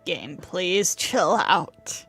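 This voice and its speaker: A woman's voice: on the low side, lively intonation, very slow, fairly bright tone, slightly rough, faint music behind. A woman giving casual livestream commentary as she plays a video game. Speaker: game streamer.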